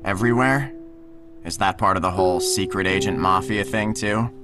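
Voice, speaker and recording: A man's voice: deep voice, normal speed, close to an everyday voice. A man playing an easygoing, concerned teenage boy with a casual, tentative way of talking in an audio drama. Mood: curious, encouraging. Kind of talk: naturalistic audio drama acting.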